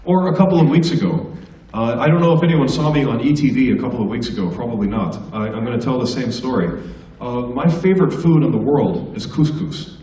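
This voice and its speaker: A man's voice: mid-range voice, fairly quick, hardly any pauses, dark tone. American man, middle-aged, stand-up storytelling, teasing, distant mic, echoey assembly hall. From United States. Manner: joking, teasing the audience. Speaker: guest speaker, stand-up comedian.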